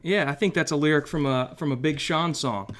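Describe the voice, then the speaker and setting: High-voiced, eager male podcast host. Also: a little fast, a bit bright. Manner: enthusiastic, admiring. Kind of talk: interview questions.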